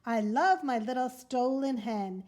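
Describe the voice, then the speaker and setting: A woman voicing a huge, greedy male giant who chants and roars loudly in a storybook reading. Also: unhurried, natural voice. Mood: gloating. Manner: loud booming chant, roaring laughter.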